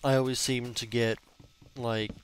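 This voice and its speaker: A man's voice: medium pitch, little pitch movement, unhurried, clean tone. A young man in his early twenties with a stuffed-up nose, chatting casually and cursing while commenting on a block-building game. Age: young adult. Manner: chatty, cursing, rambling with fillers. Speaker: gaming channel host.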